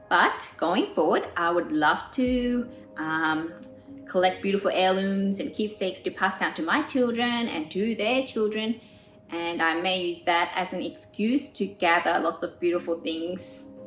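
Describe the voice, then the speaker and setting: Woman, thirties, born in South Korea: earnest pianist interviewee, low-ish voice, roomy sound, faint music behind. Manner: earnest and warm, with frequent "um" pauses. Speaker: pianist and composer. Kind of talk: documentary interview.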